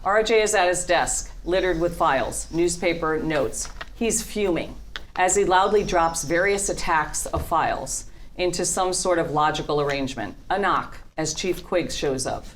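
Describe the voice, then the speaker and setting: A woman with a low voice reads stage directions at a script read-through. The delivery is scripted narration, with faint room noise behind it. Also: normal speed.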